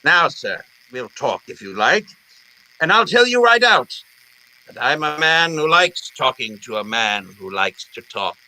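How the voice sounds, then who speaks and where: An actor playing a jovial, wordy, calculating fat crime boss in florid old-time radio style. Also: male voice, mid-range voice, big pitch swings, sharp tone, exaggerated voice, rough recording. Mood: genial, measured. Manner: florid, theatrical, deliberate phrasing.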